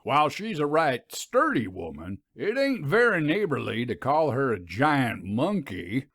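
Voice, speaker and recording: A man's voice: mid-range voice, huge pitch swings, fairly bright tone, no echo, clean studio sound, full character voice. Man acting a folksy, swooping Southern preacher. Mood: commanding, folksy. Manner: oratorical, drawn-out emphatic delivery. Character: folksy bellowing Southern minister, commanding showman preacher. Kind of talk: audiobook character reading.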